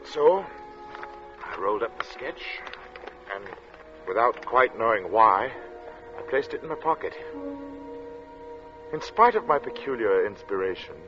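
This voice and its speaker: An actor playing a brooding, haunted artist who narrates his story in a deliberate, dramatic 1940s radio style. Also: mid-range voice, natural voice. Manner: deliberate, dramatic, with weighted pauses. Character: male artist recounting a strange, oppressive hot day, brooding and haunted. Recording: dull vintage radio recording with loud music.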